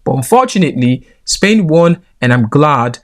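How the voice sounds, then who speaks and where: A man's voice: on the high side, animated, moderate pace. A man with a Nigerian accent giving heated football reaction commentary, indignant and emphatic. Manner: indignant, rising into emphatic rants.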